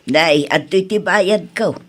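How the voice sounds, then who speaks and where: A woman playing an elderly, grumbling grandmother with an Ilocano accent in a radio drama, speaking slowly. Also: on the low side. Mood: calm, slow.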